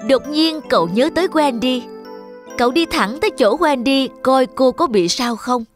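A woman's voice: sits high, expressive, normal speed, soft background music. A woman storyteller reading scripted fairy tale narration for children.

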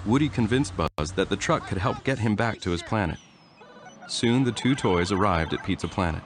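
A man reading a children's storybook aloud in a steady, even way. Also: fairly low pitch, moderate pace, noisy background. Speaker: storybook reader. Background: music.

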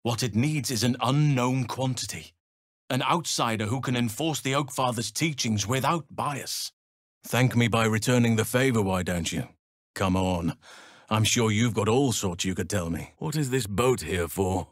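An actor playing an earnest, battle-seasoned druid and protector of nature in a fantasy video game, speaking in a measured, solemn manner with formal, old-fashioned phrasing. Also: male voice, mid-range voice, no room sound, clean studio sound, close to an everyday voice. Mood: wry, musing.

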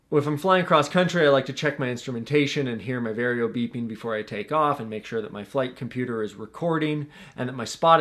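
A man's voice: a little high, a bit bright. A young man hosting a tutorial vlog, talking straight to the camera in an earnest, coaching way from a small room at home. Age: young adult. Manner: earnest, coaching, gestures with his hands. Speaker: paragliding instructor and channel host.

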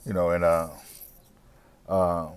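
A man's voice: sits low, flat delivery, slow, smooth, no room sound. A middle-aged man vlogging from a parked car through an earbud mic, ranting bluntly with slang and repeating his points. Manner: blunt, repetitive, preachy rant with slang and cussing. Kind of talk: casual commentary to camera. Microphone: wired earbud mic.

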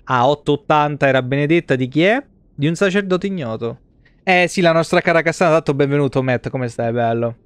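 A male streamer with a fairly high voice. He talks casually and informally over his game, close to the microphone, in a dry sound. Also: a little fast, clean tone, no room sound. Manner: informal, mild exclamations. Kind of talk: casual live game commentary, reading game text aloud. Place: small indoor streaming setup.

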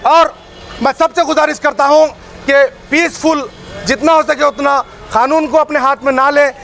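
A man delivers a fiery public statement in a very high, raised voice. He is emphatic and declamatory, like an activist addressing the press. He is recorded outdoors on a street, with faint noise around him. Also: fairly even intonation, moderate pace, creaky, a bit bright. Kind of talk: impassioned public statement to news camera. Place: busy open street outside shopfronts.

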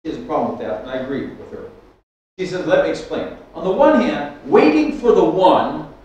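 A man's voice: a little high, brisk, distant mic. Older man preaching in an echoey hall. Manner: storytelling, animated with hand gestures, frequent restarts. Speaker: pastor. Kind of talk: sermon. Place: church hall with stage and keyboard. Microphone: stand mic at lectern, far camera. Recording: echoey hall, speaker off mic.

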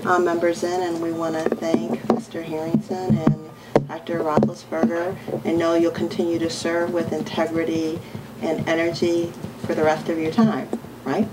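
Woman superintendent reporting to the school board, lowish voice, upbeat, noisy room recording. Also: monotone, moderate pace. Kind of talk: formal report at a board meeting.

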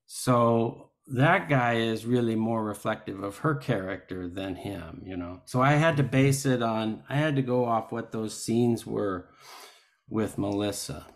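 A man speaking as an actor in a panel discussion on a video call, thoughtful and halting as he reasons aloud. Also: on the low side. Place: indoors at home on a video call. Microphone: video call microphone.

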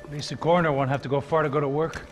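A man's voice: a little high, steady tone, racing, close to an everyday voice. An actor playing a streetwise, jittery man on the run in a video game. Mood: urgent, joking. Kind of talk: video game cutscene dialogue. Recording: loud music over the voice.

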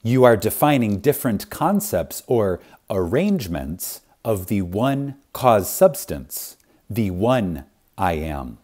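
A man narrating a spiritual audiobook in a reverent, deliberate manner, with dramatic pauses and strong emphasis. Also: fairly low pitch, sing-song, a little mellow. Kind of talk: scripted audiobook reading of a spiritual text.

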